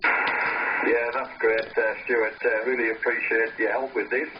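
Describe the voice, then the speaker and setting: A man's voice: mid-range voice, level tone, unhurried. A man chatting casually and hesitantly as an amateur radio operator on a contact, heard over a radio. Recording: noisy, muffled, distant radio transceiver audio. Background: radio static noise.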